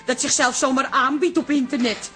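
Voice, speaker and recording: Bossy, high-pitched suspicious daughter. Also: female voice, steady tone, normal speed, soft background music. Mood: indignant, scornful. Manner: scolding, exclamatory, sharp-tongued. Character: bossy, suspicious adult daughter doting on her cat. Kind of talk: Dutch radio comedy drama acting.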